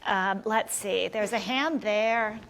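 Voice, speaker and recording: A woman's voice: mid-range voice, takes it slowly, fairly bright tone, rough recording. Woman host moderating live Q and A. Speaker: podcast co-host. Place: live event hall.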